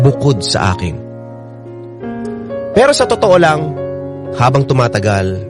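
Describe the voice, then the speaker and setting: A man acting a lovelorn young Filipino narrating his heartbreak for radio drama. His delivery is confessional and very animated in pitch, with clear guitar music behind it. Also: natural voice.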